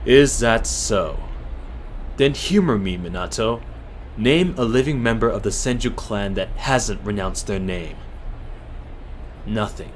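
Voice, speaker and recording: A man acts a proud, icy clan patriarch in an audio drama. His low voice is measured and full of disdain, with clear background noise around it. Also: animated. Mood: cold contempt. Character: cold, proud male clan leader, a stern father. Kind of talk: fan-made audio drama acting.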